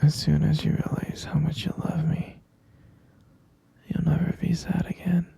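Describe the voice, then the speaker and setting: A man playing an obsessive, delusional male captor in a whispered ASMR roleplay, cooing sweetly and possessively in a breathy, creaky hush. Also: a little high, flat delivery, a little slow. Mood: tender, possessive. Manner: hushed, cooing, coaxing, repeating himself.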